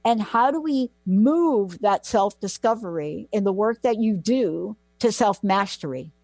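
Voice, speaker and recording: A woman's voice: medium pitch, expressive, unhurried, darkish tone, dry recording. A woman hosting a talk radio show, gushing and effusive as she welcomes her guests back.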